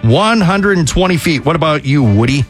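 A man hosting a radio trivia game, briskly repeating the answers and keeping score. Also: on the high side, animated, soft background music. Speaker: radio host.

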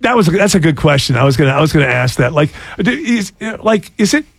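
Host, man, 60s. Sarcastic, laughs a lot; gravelly, slightly raised pitch, dry studio sound. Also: very animated, quick pace, dry recording. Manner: laughs often, joking and sarcastic. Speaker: radio show host. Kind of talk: casual chat.

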